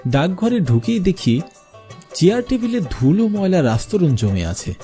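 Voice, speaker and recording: A man's voice: high-pitched, big pitch swings, normal speed, warm tone. A man playing an earnest postmaster, narrating his own ghost story expressively in a scripted cartoon. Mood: observant, uneasy. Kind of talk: first-person scripted cartoon horror narration. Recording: music behind the voice.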